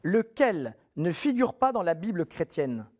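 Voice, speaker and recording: A man hosting a televised quiz show, reading questions clearly and encouraging contestants in a friendly way. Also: high-pitched, sing-song, unhurried.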